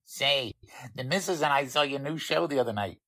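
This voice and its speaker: A man's voice: medium pitch, animated, a little fast, pushed and bright. A man playing a chatty, deferential bank attendant in a folksy, plain-spoken 1940s radio drama style.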